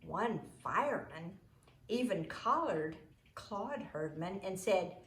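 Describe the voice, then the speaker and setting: A woman reading a story aloud expressively, with deliberate, emphatic phrasing. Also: mid-range voice, expressive, a bit bright, echoey room.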